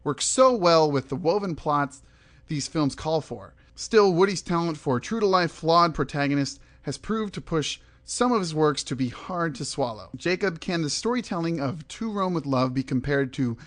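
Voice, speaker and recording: A man's voice: a little high, lively intonation, moderate pace. A man hosting a film review podcast, moving between prepared remarks and conversational opinion. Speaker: podcast host. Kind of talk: film review, scripted intro and loose discussion.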